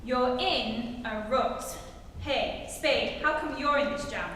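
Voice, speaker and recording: A woman plays an exasperated police lieutenant in a 1940s radio comedy. The voice is high, forceful and curt. It comes across a very echoey hall from some way off. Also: slow. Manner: barking, impatient. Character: brusque, exasperated police lieutenant grilling a suspect. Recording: echoey hall, distant mic, faint noise.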